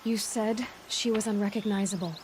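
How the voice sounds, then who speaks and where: A woman playing a keen, self-assured young huntress in a video game, reasoning in a deliberate, matter-of-fact way. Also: medium pitch, fairly even intonation, darkish tone, faint music behind, lightly acted. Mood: focused, deductive. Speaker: player character. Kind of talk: video game cutscene voice acting.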